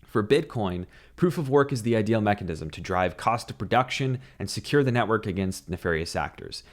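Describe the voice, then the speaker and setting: A man's voice: fairly low pitch, lively intonation. A man in his thirties hosting a crypto commentary show, scripted and opinionated, his voice a little scratchy from no sleep, recorded on a desk mic in a small home studio. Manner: opinionated, brisk, mocking at illogical debates. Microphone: desk broadcast mic on arm.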